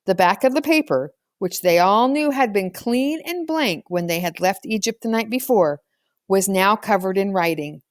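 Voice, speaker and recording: A woman's voice: mid-range voice, animated, normal speed, no echo, clean studio sound. A woman reading a children's novel aloud as scripted storybook narration. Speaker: audiobook reader.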